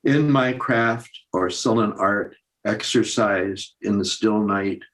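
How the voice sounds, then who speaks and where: An older man reading poems aloud, deliberate, with pauses between short phrases. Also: lowish voice, a bit bright, poor audio. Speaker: poetry reader. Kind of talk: poetry recitation.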